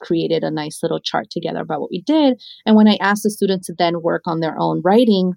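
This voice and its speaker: A woman educator from Chile speaks in a conversational, storytelling way, often checking in with her listener. Her voice sits slightly low and has a lot of creak. The recording is close and dry. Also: fairly quick, mellow, no room sound. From born in Chile, came to the United States when little. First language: Spanish. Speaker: educator and author. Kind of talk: conversational interview answer with anecdotes.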